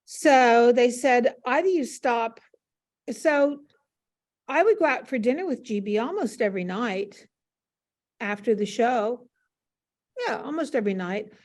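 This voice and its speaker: Frank older American woman, higher-pitched voice. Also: lively intonation, unhurried, long pauses, darkish tone. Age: 70s. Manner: frank, matter-of-fact. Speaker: interview guest, former stage performer. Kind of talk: conversational reminiscing. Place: video call.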